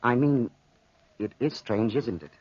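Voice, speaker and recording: An actor playing an earnest, smitten young French soldier, hesitant, in 1940s radio acting style. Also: male voice, medium pitch, expressive, unhurried, breathy edge. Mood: hesitant, torn. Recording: noisy, lo-fi vintage radio recording.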